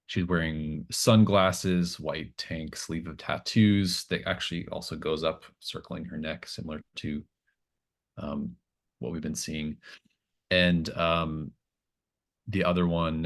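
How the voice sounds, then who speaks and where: A man narrating a tabletop game as game master, improvising in a halting, thinking-aloud way. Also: extremely low pitch.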